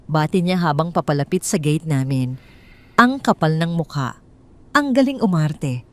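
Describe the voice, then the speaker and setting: A woman narrating a scripted first-person drama in a measured, bitter, cold way. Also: sits low, lively intonation. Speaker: storyteller.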